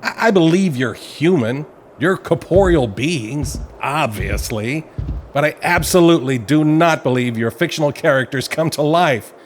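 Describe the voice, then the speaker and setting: A man's voice: fairly high pitch, expressive, a little slow, a little hiss or hum. A man playing a flustered, defensive novelist in an audio play, with an indignant manner. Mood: skeptical. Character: flustered, defensive male novelist confronted by his creations.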